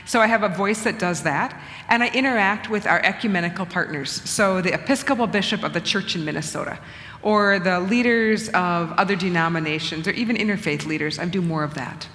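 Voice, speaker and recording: A middle-aged woman bishop talks in an earnest, measured manner. She thinks aloud, often restarting and rephrasing her thoughts. Her voice rings with a long reverb in a church hall. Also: on the low side, a bit bright, a little hiss or hum. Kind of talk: conversational church talk. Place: church sanctuary.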